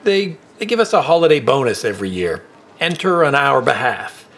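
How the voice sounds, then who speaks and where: An actor playing a kindly older company employee in an audio drama, folksy, wry and a little hesitant. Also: male voice, medium pitch, sing-song, normal speed, a bit bright. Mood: wry, friendly. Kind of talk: naturalistic audio drama dialogue.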